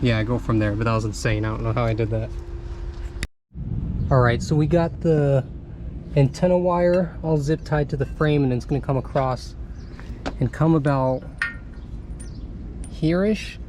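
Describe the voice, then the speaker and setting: A young man casually narrating a how-to vlog while installing a radio in his pickup, relaxed and chatty with frequent pauses. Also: medium pitch, noisy background.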